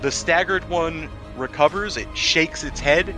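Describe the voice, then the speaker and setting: A man's voice: fairly high pitch, measured pace. Amused male game master over music. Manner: amused, laughs often. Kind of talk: improvised tabletop game narration and casual table talk.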